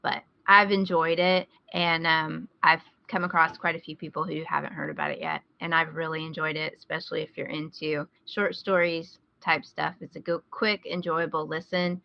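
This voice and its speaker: A woman's voice: low voice, normal speed, creaky, few pauses, no room sound. A woman hosting a podcast, chatting casually as she gives recommendations. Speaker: podcast host. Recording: slightly dull, lo-fi close recording.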